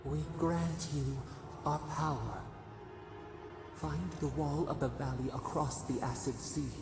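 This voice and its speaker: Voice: mid-range voice, vocal fry. An actor voicing an imprisoned ancient spirit that speaks as many, solemn and cryptic, in a video game cutscene. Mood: urgent, beckoning. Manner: slow, cryptic, solemn short phrases. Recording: long cavernous reverb, music behind. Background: music.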